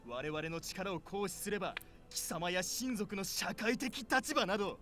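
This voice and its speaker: Dramatic visual novel man, fast, lively delivery over game music. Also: high-pitched. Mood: scornful, menacing. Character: male anime visual novel character, intense, dramatic. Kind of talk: Japanese visual novel voice acting.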